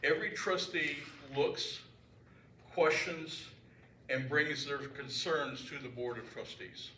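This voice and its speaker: A man reporting as a church trustee at a council meeting, loud and joking, talking freely off his notes into a handheld mic. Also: fairly high pitch, slow pace. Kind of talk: informal talk at a meeting. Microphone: handheld microphone. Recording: echoey room, mic far off, background noise.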